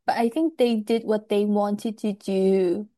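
A woman from Hong Kong talks candidly and with emphasis, laughing easily in casual conversation. Her voice is mellow and warm. The sound is dry, with no room echo. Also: mid-range voice, steady tone, a little slow. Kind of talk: casual chat.

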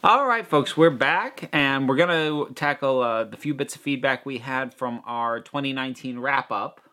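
A man's voice: medium pitch, moderate pace. A man co-hosting a podcast in casual chat, reading out listener feedback. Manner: relaxed, chatty, reads listener letters aloud. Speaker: podcast co-host.

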